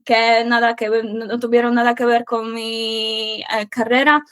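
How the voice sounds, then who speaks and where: A young woman with a Polish accent, her first language Polish, answers interview questions slowly, stopping often to hunt for the next word. Her voice sits a little high and the recording is dry and close, with no room sound. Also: flat delivery, fairly bright tone, clear, resonant tone, no room sound. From Poland. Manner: halting, pausing often to search for words. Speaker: guest, Spanish teacher.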